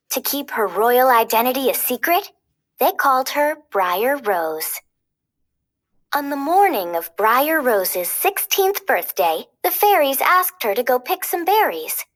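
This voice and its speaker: A woman reading a children's storybook aloud as a storyteller, expressive and clearly paced. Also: a little high.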